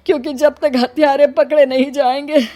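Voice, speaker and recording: A woman's voice: high voice, level tone, normal speed, dark tone, faint music behind, natural voice. A woman playing a grieving elderly male village headman, mournful and pleading, in a story. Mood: sorrowful. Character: grieving elderly village headman who lost his son.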